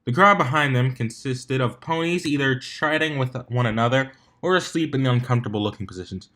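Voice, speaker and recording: Male narrator, mid-pitched, amateur storybook reading, measured, occasional stumbles. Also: bright, forceful tone. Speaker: narrator and fan fiction reader.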